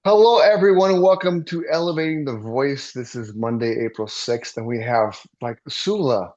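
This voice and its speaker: A man's voice: mid-range voice, moderate pace, darkish tone, lo-fi sound. A man in his fifties co-hosting a realtor podcast, upbeat and rambling with lots of fillers. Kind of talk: casual interview chat.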